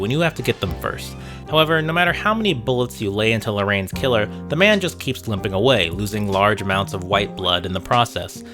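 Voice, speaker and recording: A man narrating a scripted video essay in a dry, wry manner. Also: lowish voice. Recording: music bed behind voice. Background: background music with guitar.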